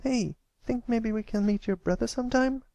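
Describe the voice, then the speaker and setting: An earnest young pony filly from a storybook, put on by one reader, with a very high, lifted voice. She is eager, caring and full of questions, recorded dry. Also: normal speed, mellow, no room sound, close to an everyday voice. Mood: curious. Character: concerned, curious young filly, loyal friend. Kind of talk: acted fan fiction reading.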